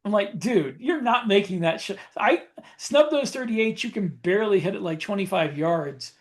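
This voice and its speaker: A man's voice: high-pitched, expressive, moderate pace. A man co-hosting a podcast in casual chat, explaining his opinions as he goes. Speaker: podcast co-host. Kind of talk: casual chat about guns and military life.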